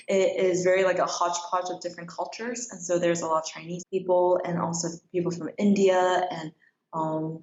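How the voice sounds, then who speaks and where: A young adult woman from South Korea who grew up in Kenya, chatting casually as an interview guest and peppering her answers with "like". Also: on the low side. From South Korea, raised in Kenya. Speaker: interview guest, middle school teacher. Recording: roomy, dull lo-fi sound.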